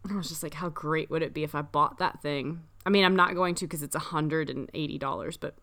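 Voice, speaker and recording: A woman's voice: deep voice, brisk, gravelly, a bit bright. A woman co-hosting a podcast in casual chat, enthusiastic and easily delighted, sounding tired. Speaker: podcast co-host.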